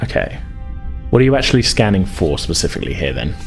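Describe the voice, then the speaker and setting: A man narrating a tabletop role-playing game as game master, casual and halting as he explains. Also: low voice, sing-song, creaky, soft background music.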